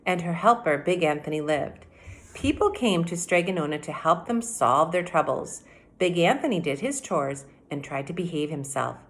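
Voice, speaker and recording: Expressive, low-pitched woman teaching young children. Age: middle-aged. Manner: animated, explanatory, addressing children directly. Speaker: elementary teacher. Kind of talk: read-aloud mini lesson for children. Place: indoor room at home by a window.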